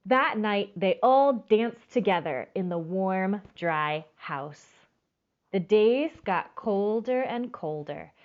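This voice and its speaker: A woman's voice: mid-range voice, expressive, slow, phone-quality sound. A woman reading a children's storybook aloud expressively, stretching words for emphasis and speaking directly to young listeners. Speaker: storytime reader.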